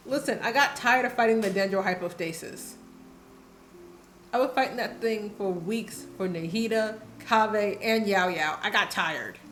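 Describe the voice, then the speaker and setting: Casting: female game streamer, medium pitch, offhand slangy remarks, echoey room mic. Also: normal speed, fairly bright tone, a little hiss or hum. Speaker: live streamer. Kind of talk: casual gaming stream commentary. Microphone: streamer's microphone. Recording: roomy home mic, game music behind.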